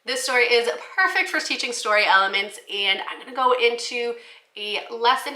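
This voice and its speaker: A woman teacher giving an instructional talk to fellow educators, explaining things clearly. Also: on the high side, sharp tone. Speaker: teacher presenting children's books for the classroom. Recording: roomy, echoey indoor sound.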